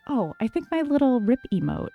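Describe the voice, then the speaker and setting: Soft calm woman streaming games, lots of ums, a bit of music under it. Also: high-pitched, brisk, creaky, phone-quality sound. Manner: soft, calm, many fillers. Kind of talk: casual livestream chat.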